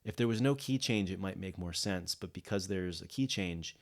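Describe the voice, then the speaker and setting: A man with a low voice talks through a music production tutorial. He explains things off the cuff, often restarting and rephrasing his phrases as he thinks aloud. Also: moderate pace, gravelly. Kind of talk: explanatory software tutorial, unscripted. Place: indoors, voice-over of a screen recording.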